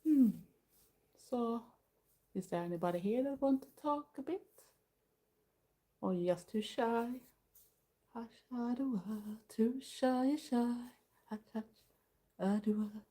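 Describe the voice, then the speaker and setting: Relaxed Swedish-accented woman streamer, mellow. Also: fairly high pitch. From Sweden. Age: older. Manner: relaxed, chatty, pauses often to read the chat. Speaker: live streamer. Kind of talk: casual solo live stream chat.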